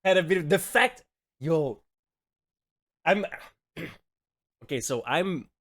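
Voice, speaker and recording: A man's voice: on the high side, animated, slow pace, fairly bright tone, no room sound, studio quality. A man from Belgium with a Belgian Dutch accent, a native Dutch speaker, ranting and swearing as he reacts to a clip on his stream. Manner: heated ranting, swears often. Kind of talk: reaction commentary.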